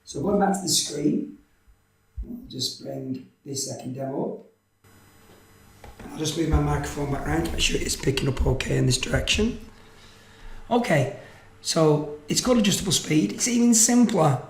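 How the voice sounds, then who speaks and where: A man's voice: fairly high pitch, expressive, normal speed, pauses often. A man giving an informal tutorial narration, explaining things casually as a presenter. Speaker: presenter of electronics tutorial videos. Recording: roomy, echoey microphone sound.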